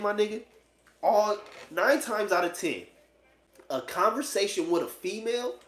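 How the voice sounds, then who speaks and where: A man's voice: sits high, fairly bright tone. A man in his late twenties giving a blunt, slangy, profane opinion monologue, casually repeating phrases for emphasis. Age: late 20s. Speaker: vlogger giving dating opinions. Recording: echoey, roomy sound.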